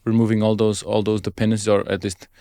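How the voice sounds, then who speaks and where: Smooth-voiced man, tentative conversational interviewer. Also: on the low side, level tone, fast, warm tone, no room sound, studio quality. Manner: tentative, rephrases questions, listens with murmurs. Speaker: podcast host, interviewer.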